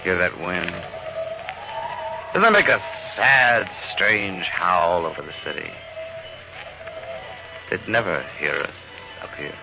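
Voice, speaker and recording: An actor playing a charming, cynical man whose breezy charm turns quietly menacing, in a 1950s radio drama. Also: sits low, lively intonation, takes it slowly, vocal fry, long pauses, heavily acted. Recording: muffled old radio broadcast with music.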